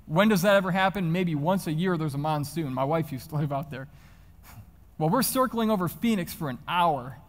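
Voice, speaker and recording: High-voiced American man speaking in a reverberant hall. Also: normal speed. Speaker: motivational speaker. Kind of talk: public talk with personal anecdotes to an audience. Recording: reverberant hall, live stage sound.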